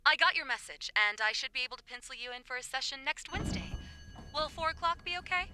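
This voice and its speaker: A woman playing a sweet, brisk young girlfriend who works as a therapist in an indie audio drama. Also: sits high, animated, noisy background.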